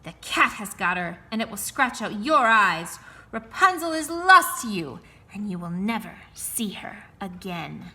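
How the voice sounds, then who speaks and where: A woman voicing a cruel old sorceress who speaks slowly and menacingly, with loud cries. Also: mid-range voice, big pitch swings, exaggerated voice. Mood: scornful.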